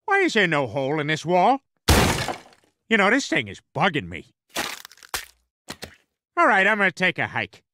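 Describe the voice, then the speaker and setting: An actor playing a crude, dim-witted, boisterous middle-aged suburban father in an animated comedy. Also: high-pitched, normal speed, long pauses, heavy background noise. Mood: casual.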